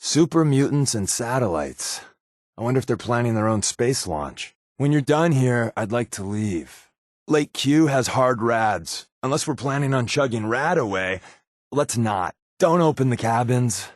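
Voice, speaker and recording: A man's voice: mid-range voice, expressive, a little slow, dry recording, studio quality, natural voice. A man playing a wry, laid-back spy companion in a post-apocalyptic video game, given to sardonic asides. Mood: wry, casual.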